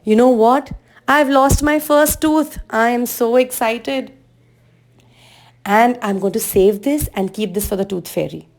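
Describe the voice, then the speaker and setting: Woman voicing a boastful, excited little bear. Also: mid-range voice, moderate pace, gravelly, few pauses. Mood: proud, excited. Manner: exclaiming, teasing. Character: boastful, excited young bear, teasing little know-it-all.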